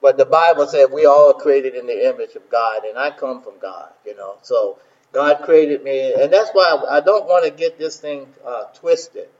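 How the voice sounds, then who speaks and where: A man's voice: medium pitch, moderate pace, a little mellow, lo-fi sound. A man, a minister and host talking casually, rambling with repeated words and many fillers. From South Carolina. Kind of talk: casual talk to listeners.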